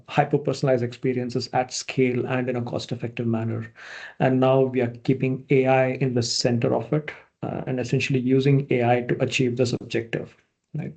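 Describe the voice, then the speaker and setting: A man from India, a product manager, giving a relaxed online presentation over a video call, with many fillers and restarts. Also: medium pitch, creaky.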